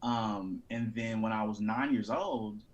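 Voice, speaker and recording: A man talks as a guest actor in a casual interview. His pitch is a little low, with a light, jazzy sound. The delivery is loose and conversational. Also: a little slow, muffled recording. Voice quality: thin, jazzy.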